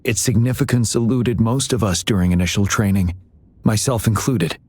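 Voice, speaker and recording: An actor voicing a solemn young military general in a fantasy video game, speaking in a measured, earnest and formal way. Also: male voice, fairly low pitch, a little mellow, no room sound, lightly acted. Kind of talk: scripted video game dialogue.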